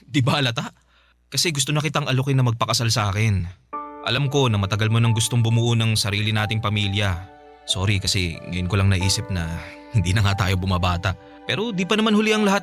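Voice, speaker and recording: A man's voice: on the low side, brisk, faint music behind, close to an everyday voice. A man acting a jobless, wheedling boyfriend in a radio drama, pleading and defensive. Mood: coaxing, earnest. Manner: pleading, coaxing. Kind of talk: radio drama acting in Filipino love story.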